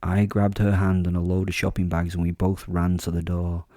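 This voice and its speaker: Guy playing a wry killer werewolf telling his story, audio drama, low mellow voice, no room sound. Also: monotone, moderate pace, no echo, clean studio sound, lightly acted. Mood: wry, observant. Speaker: narrator. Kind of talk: first-person audio drama narration.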